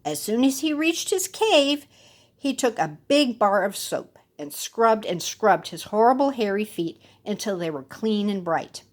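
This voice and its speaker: A woman's voice: mid-range voice, sing-song, a little slow. A woman telling a children's fairy tale expressively, with dramatic and playful emphasis. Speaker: storyteller.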